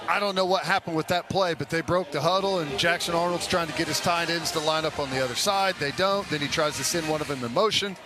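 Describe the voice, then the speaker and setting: High-voiced color commentator, noisy stadium broadcast. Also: male voice, fast, few pauses. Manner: conversational, explains each play. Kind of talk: live American football analysis.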